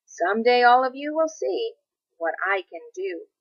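A woman's voice: a little high, big pitch swings, unhurried, lo-fi sound, pitched above the performer's own voice. A woman voicing a small, indignant young fir tree in a storybook, speaking emphatically and defiantly.

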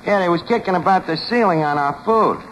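A man playing a scheming, flattering New York tavern manager with a New York accent and mangled slang in a 1940s radio comedy. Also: sits high, a little fast. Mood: chatty, wry. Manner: ungrammatical slang with misused fancy words. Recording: noisy old radio broadcast, studio audience. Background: loud studio audience.

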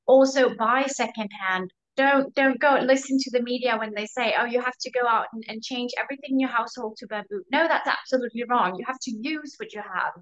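A woman's voice: on the high side, fairly bright tone. A young woman panelist on a video call from a room at home, speaking earnestly and emphatically. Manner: earnest, emphatic, quick asides. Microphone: video call laptop mic. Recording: dull lo-fi call audio.

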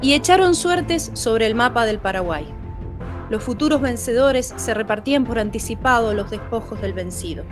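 A woman with a high voice narrates a radio drama in a clear, declarative way. Faint music sits behind her. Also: expressive, normal speed.

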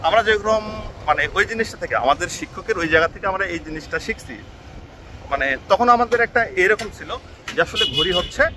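A man in his thirties speaks quickly into a phone camera outdoors, thinking aloud in a rambling way and restarting his sentences. His voice sits high for a man, and clear traffic noise runs under the whole recording. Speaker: vlogger. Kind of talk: casual reflective monologue. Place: outdoors on a village road. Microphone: phone selfie camera at arm's length.

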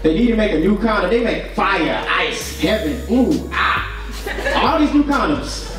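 Young male comedian, punchy shouted delivery, roomy distant club recording. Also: high voice, unhurried, music in the background. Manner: loud, animated, punchy delivery with shouted bits. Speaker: stand-up comedian. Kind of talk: stand-up comedy set. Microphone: handheld mic. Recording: echoey club heard from far off. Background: crowd laughing.

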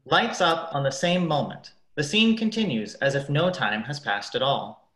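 A man's voice: a little high, lively intonation, moderate pace, fairly bright tone. A young man playing a narrator who reads stage directions in a radio play. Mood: narrating. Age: young adult. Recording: very echoey room.

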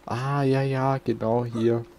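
A man's voice: medium pitch, level tone, slow pace, a little mellow. A man giving casual gameplay commentary as a let's play host, muttering to himself.

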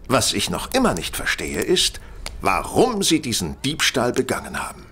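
An actor playing a composed, incisive master detective in an audio drama, methodical, stern and theatrical. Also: male voice, medium pitch, vocal fry, fairly bright tone, slight background noise, heavily acted. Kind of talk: German audio drama acting.

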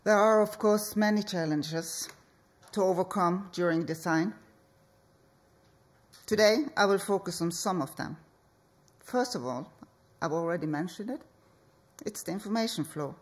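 Slow, measured woman presenting. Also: lowish voice. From Norway. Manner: slow, measured delivery with deliberate pauses. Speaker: architect and project leader. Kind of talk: conference presentation. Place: conference hall stage.

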